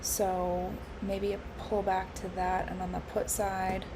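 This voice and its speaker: A woman live-streaming her futures trading, congested and hoarse from allergies, thinking aloud with lots of fillers and restarts. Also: medium pitch, monotone, slow pace, background noise. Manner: musing, with frequent fillers and restarts.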